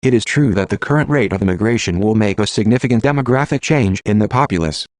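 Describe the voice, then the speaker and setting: A man's voice playing a calm, well-read AI assistant, measured, formal and impartial in scripted dialogue. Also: fairly low pitch, no echo, studio quality, natural voice. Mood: measured, informative.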